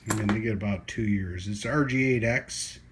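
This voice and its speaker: A middle-aged man with a fairly low voice talks casually through a hands-on hobby vlog. He rambles in a colloquial, self-deprecating way. Some ambient noise sits behind his voice. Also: moderate pace. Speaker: radio hobbyist making a video. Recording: clear background noise and ambience.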